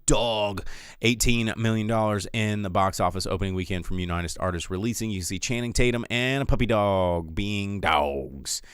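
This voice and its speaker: An American man with a fairly low, clean and resonant voice hosts a solo show in a very dry recording. He rambles casually through rankings and commentary. His delivery is irreverent and sardonic. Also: smooth, dry recording. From United States. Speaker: movie podcast host.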